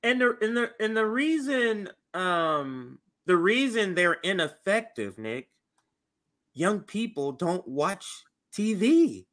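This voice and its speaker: An American man co-hosting a casual political show, talking in a halting, repetitive, wryly amused way. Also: high voice, sing-song, fairly bright tone. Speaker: co-host.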